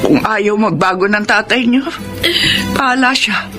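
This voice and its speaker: A woman's voice: mid-range voice, measured pace, music in the background, lightly acted. A woman with a Filipino accent playing a doting, repentant, emotional mother in a radio drama. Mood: tearful, repentant. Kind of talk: Tagalog radio drama acting.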